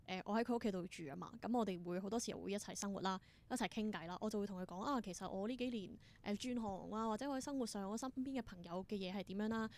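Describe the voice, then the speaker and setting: A woman's voice: medium pitch, darkish tone. A woman with a Hong Kong Cantonese accent chatting as an interview guest, telling stories with enthusiasm and dropping in English words. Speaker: interview guest, independent theatre performer. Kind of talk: casual interview chat.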